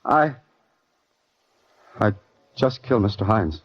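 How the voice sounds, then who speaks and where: A man's voice: on the low side, expressive, takes it slowly, no echo. An actor playing a hesitant, guilt-stricken young clerk in an old radio drama, telling his own story. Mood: shaken. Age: young adult. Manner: hesitant, brooding. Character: dazed, guilt-stricken young law office clerk accused of murder. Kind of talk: 1940s radio acting, first-person narration. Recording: muffled old radio recording, music behind.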